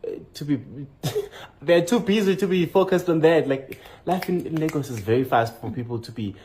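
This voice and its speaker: A man with a South African accent talks through his travel impressions in a relaxed vlog style, jumping up in pitch each time he announces the next number. A faint hiss sits under the recording. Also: normal speed. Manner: animated, jumps up in pitch to announce list items. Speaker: vlogger. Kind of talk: casual travel vlog chat.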